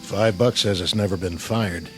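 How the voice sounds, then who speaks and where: Man playing low-voiced weary American army sergeant. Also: normal speed, over music, natural voice. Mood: dry, wry. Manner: dry, colloquial, laconic. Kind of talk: radio drama acting.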